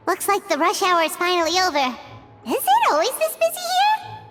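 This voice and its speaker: A woman voicing a small, childlike fairy companion in a video game, with a squeaky voice, blunt and excitable. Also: normal speed, full character voice. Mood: curious. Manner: refers to itself in third person, exclamatory. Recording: loud music behind the voice. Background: music.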